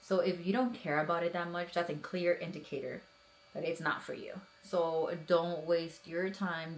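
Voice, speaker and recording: A woman's voice: fairly low pitch, poor audio. A woman in her thirties giving earnest, encouraging advice in a casual vlog, talking in loose runs with many fillers. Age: 30s. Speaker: police officer vlogger.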